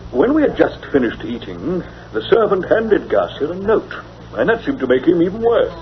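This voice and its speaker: An actor playing a pompous, indignant English bachelor gentleman in old-time radio drama style, speaking formally. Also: on the high side, very animated, moderate pace. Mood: aggrieved recounting. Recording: dull lo-fi old radio recording.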